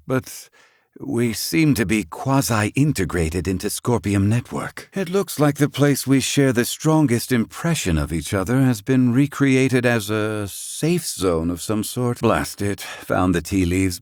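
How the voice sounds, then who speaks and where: An actor playing a proud, gruff, excitable older scholar-inventor in a video game, speaking in formal, archaic, theatrical phrasing. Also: male voice, fairly low pitch, no room sound, studio quality, close to an everyday voice. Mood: brusque. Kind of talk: video game character acting.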